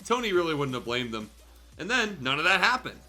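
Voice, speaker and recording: Guy reading a fanfic on stream, kinda high lively voice, super bright, sweary and theatrical, music in back. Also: a little fast. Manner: irreverent, sweary, theatrical storytelling. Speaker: guest host reading fan fiction aloud on a livestream show. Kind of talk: comedic dramatic reading with casual asides. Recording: music playing behind the voice.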